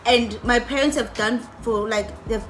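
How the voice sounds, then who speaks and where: A woman's voice: fairly high pitch, moderate pace, slight background noise. A young woman, a medical student, speaking in a determined, emphatic way in a casual group discussion. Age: young adult.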